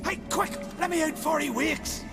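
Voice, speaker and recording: An actor playing a frantic, bloodthirsty mad warrior in a video game, agitated and shouting. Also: male voice, very high-pitched, normal speed. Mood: frantic, indignant. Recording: game soundtrack music behind.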